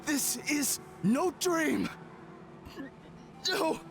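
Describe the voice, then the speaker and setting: A despairing, defiant man speaks bitterly and extremely slowly. His halting game-cutscene delivery swings widely in pitch over prominent music. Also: lively intonation, heavily acted. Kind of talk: video game voice acting.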